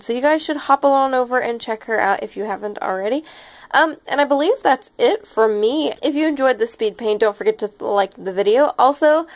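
Nasal young woman kinda sick, rambly chatty voiceover with lots of ums. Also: on the high side. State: sounds sick. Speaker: art channel host. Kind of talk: casual voice-over chat over a speed paint.

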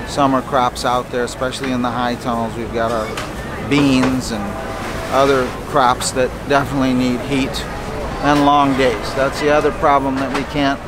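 A man in his fifties, a farmer, giving a relaxed, chatty update on camera at an outdoor city market stall. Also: medium pitch, moderate pace. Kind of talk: casual on-camera farm update. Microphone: handheld camera close up. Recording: loud street noise outdoors. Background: traffic and market bustle.

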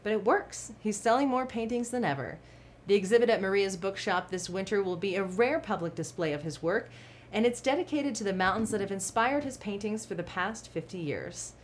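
A young woman anchoring a local TV news segment, reading a scripted feature in a clear, even newsreader delivery from a studio desk. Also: mid-range voice, brisk, slight background noise. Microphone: studio mic, seated at desk.

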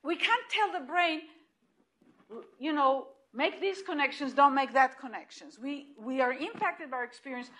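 A middle-aged woman with an Israeli accent, giving an animated lecture in a room, heard from a distant camera. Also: high voice, normal speed. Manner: animated and emphatic, with restarts and repeated points. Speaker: lecturer at hospital grand rounds. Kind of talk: lecture presentation.

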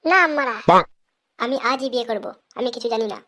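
A woman's voice: on the high side, fairly quick, sharp tone. A woman voicing a whiny, stubborn little village boy in a comic cartoon, childish and insistent. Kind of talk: comic Bengali cartoon dubbing.

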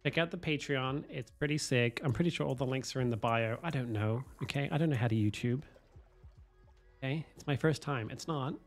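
A man, streaming a game from home on a headset, hoarse from a cold, swearing and joking with chat. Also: mid-range voice, brisk, faint music behind. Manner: swearing, self-mocking, chatting to viewers. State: hoarse, losing his voice from a cold. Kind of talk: casual live-stream chatter while playing.

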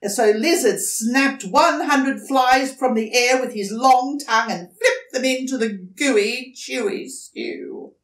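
An older woman reading a children's story aloud, deliberate, dramatic and expressive, in a living room. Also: a little high, reverberant, far from the mic. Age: older, 60s or above. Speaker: storybook reader. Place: indoors, furnished living room.

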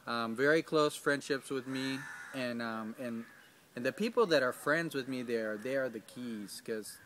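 A man's voice: medium pitch, unhurried, fairly bright tone, slight background noise. A man in his thirties answering interview questions outdoors in a garden, hesitant, with many ums and restarts. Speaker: field staff.

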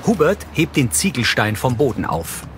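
A man's voice: medium pitch, very animated, normal speed, noisy background. A man reading scripted audio description as a narrator.

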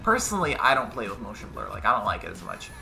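A young man with a raspy, hoarse voice from being sick, giving casual, slangy, excitable live commentary while he plays a game at home on a headset. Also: fairly low pitch, quick pace. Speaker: gaming YouTuber. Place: indoor room at home. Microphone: headset with webcam. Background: game gunfire and music.

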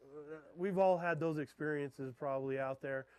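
Man, thirties, fishing guide, presentation to a room, fairly high voice, dry sound, keeps saying okay. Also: normal speed, clean tone, no room sound, low-quality recording. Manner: keeps checking in with the audience with "okay?". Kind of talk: classroom talk to an audience. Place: dark lecture room beside a projector screen.

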